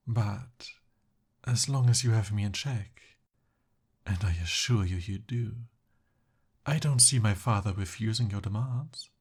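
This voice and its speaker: A man plays a young prince held captive by a dragon, speaking in a formal, courtly way. His delivery is unhurried and a little hesitant, with a soft husk to the voice. He is smooth-talking and eager, in the style of an ASMR audio roleplay. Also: lowish voice.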